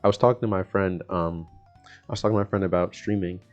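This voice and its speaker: A young man speaks in a fairly low voice over a music bed. He talks casually in slang, repeating himself as he works through self-help advice. The sound is slightly dull and lo-fi. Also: steady tone, fast talker. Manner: rambling and repetitive, often chuckling mid-sentence. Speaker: vlogger giving self-help talk. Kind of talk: casual, slangy motivational chat to the audience. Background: background music.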